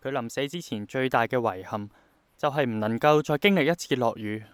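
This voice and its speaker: Radio drama lead: male actor as a persistent young idealist, Hong Kong accent, soft earnest reflection, mid-range voice, dry studio-close sound. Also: medium pitch, brisk, clean tone, dry recording, close to an everyday voice. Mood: wistful. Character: idealistic, persistent young man longing for rain to return.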